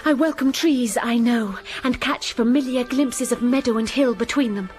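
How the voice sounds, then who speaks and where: An actress playing a young English governess, narrating in the first person with measured, wistful delivery over music. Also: female voice, fairly high pitch, a little mellow, natural voice. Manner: measured, wistful, rising to urgent. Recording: music under the voice.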